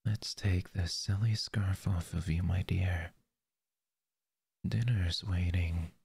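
A man playing a possessive, jealous lover in an audio roleplay. He speaks softly and intimately into a close microphone, often in a whisper. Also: on the low side, takes it slowly, creaky, breathy edge, full character voice. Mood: apologetic.

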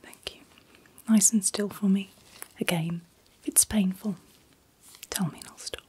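A middle-aged woman speaking in a gentle, breathy whisper in short soft phrases, as a doctor in an ASMR roleplay. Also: medium pitch, fairly even intonation, measured pace, a little hiss or hum. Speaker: ASMR performer playing a doctor.

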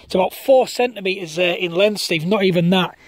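A man's voice: high voice, brisk, no echo, clean studio sound. A man with a Northern English accent, chatting casually and colloquially outdoors as an amateur radio operator. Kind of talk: casual chat over ham radio. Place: outdoors on a hilltop common.

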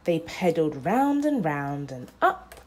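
A young woman narrating a children's bedtime picture book aloud, with deliberate, dramatic phrasing. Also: deep voice, sing-song, darkish tone, slightly rough.

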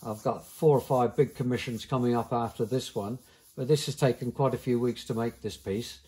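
A man's voice: mid-range voice, fairly even intonation, moderate pace, dark tone, breathy edge, low-quality recording. An older man, a silversmith, explaining each step plainly as he works at his bench in a small workshop. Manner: practical, plain-spoken, step-by-step.

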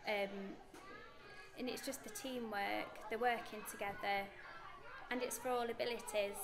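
A woman's voice: medium pitch, steady tone, a little slow, creaky, music in the background. A British woman in her thirties, a primary school teacher explaining her classroom practice in an interview.

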